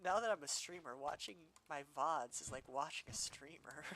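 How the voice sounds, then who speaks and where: A young male streamer thinks out loud while playing, restarting sentences and poking fun at himself. His voice sits a bit high and comes across bright and forward. Allergies leave it slightly nasal. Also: expressive, normal speed. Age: young adult. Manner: rambling, self-deprecating, lots of fillers and restarts. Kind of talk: casual gaming stream chatter.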